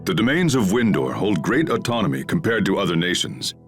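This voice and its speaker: A middle-aged knight captain in a game drama, with a deep yet expressive, wide-ranging delivery. He is steady, formal and commanding. Music plays clearly behind him. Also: fairly quick. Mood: grave, measured. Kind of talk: English video game voice acting.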